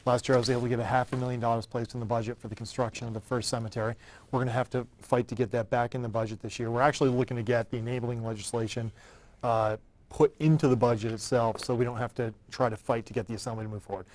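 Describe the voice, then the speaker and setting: A man who is a state senator, talking quickly and forcefully about a bill he is pushing on his own talk show. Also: medium pitch, level tone. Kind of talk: public affairs talk show.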